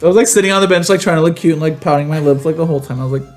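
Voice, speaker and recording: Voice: mid-range voice, racing, over music. A young American podcast co-host with a sassy, campy, slangy manner, chatting and bantering casually.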